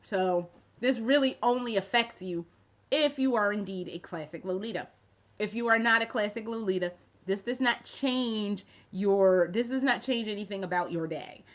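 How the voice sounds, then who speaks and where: A woman in her thirties talks straight to her viewers in a loose, rambling vlog, swearing freely and tossing in jokey asides. Her voice sits at an ordinary middle pitch. The recording sounds a little dull and lo-fi. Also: moderate pace. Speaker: fashion vlogger. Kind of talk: casual chat to camera.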